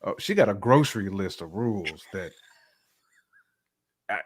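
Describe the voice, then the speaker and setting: A man's voice: fairly low pitch, lively intonation, a little slow. A man giving casual reaction commentary as a co-host.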